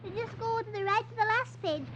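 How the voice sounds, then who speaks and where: Very high-voiced curious little girl. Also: fairly quick. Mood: eager. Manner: inquisitive, chirpy. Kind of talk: 1950s children's radio dialogue. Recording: muffled, noisy old radio recording.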